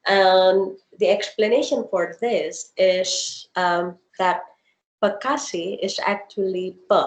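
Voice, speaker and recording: Slow, careful woman in an echoey room. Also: mid-range voice, steady tone. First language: Indonesian. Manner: thoughtful, explains with examples, many ums. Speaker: researcher presenting her dissertation. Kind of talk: academic Q&A on a video call. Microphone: video call.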